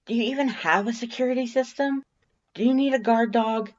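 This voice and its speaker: A fierce, protective monster woman, as put on by an audiobook reader. She is blunt and accusing, with pitch pushed well up. The sound is a little dull. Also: fairly even intonation, a little fast, natural voice.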